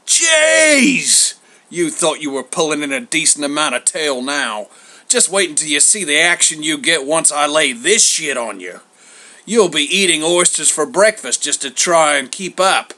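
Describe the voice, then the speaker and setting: A man's voice: on the high side, animated, a little slow, bright, forceful tone, pitched above the performer's own voice. A man voicing a huge bearded god as a slangy, boastful, wheedling hustler in a comic story. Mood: excited hard sell. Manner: slangy, schmoozing, boastful, theatrically wounded.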